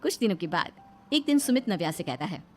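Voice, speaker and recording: A woman's voice: medium pitch, big pitch swings, quick pace, soft background music. A woman narrating a scripted moral story.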